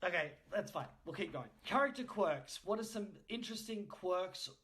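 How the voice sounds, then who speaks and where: A man's voice: high voice, animated, normal speed, a bit bright. A man hosting a podcast, interviewing in a casual, jokey, bantering way with a readiness to chuckle. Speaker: podcast host.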